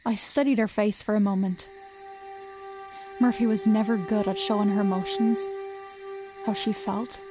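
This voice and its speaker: A woman's voice: mid-range voice, steady tone, moderate pace, long pauses, music in the background, close to an everyday voice. A woman playing a sentimental, teasing young best friend in an audio drama. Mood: reflective narration. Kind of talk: audio drama acting with first-person narration.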